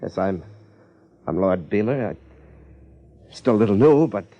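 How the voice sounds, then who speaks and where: A man acting a sullen, slow-witted crook in a 1950s radio drama, hesitant and guarded. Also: lowish voice, animated, dark tone, no room sound, muffled recording. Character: cornered small-time male crook, sullen, slow-witted impostor.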